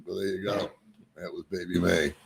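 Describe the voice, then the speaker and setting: A man's voice: low voice, fairly even intonation, normal speed, creaky, a little hiss or hum. A middle-aged man hosting a casual live stream, joking at his own expense and greeting viewers by name.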